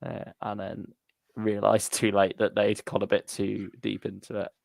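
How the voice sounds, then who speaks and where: Male co-host, gravelly and dry-sounding, thinking aloud in relaxed fan discussion, trailing thoughts. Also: lowish voice, unhurried, dry recording. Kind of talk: casual fan chat.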